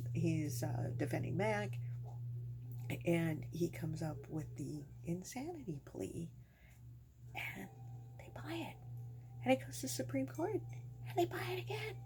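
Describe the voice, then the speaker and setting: A woman host telling ghost stories casually to viewers, in a chatty, folksy, colloquial way. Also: mid-range voice. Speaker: host of a storytelling channel. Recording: noisy home recording with clear hum.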